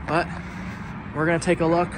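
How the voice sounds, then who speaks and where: Young guy rambling as he walks around, kinda high voice, wind and outdoor noise on the camera mic. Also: flat delivery, moderate pace. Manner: chatty, rambling asides. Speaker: urban explorer vlogger. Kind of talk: casual walking commentary. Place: outdoors by an empty store building.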